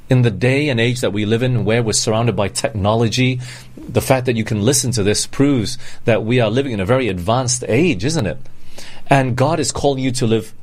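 Male preacher, medium pitch. Conversational sermon delivery, emphatic, checks in with listeners through rhetorical questions. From born in Singapore, grew up in Malaysia, England and Australia.

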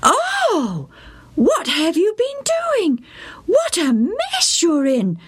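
A woman's voice: very high voice, wildly swooping pitch, extremely slow, voice pushed up, heavily acted. A woman voicing a worried mother who fusses and scolds her son. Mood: alarmed. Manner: scolding, exclaiming. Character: fussing, worried country mother.

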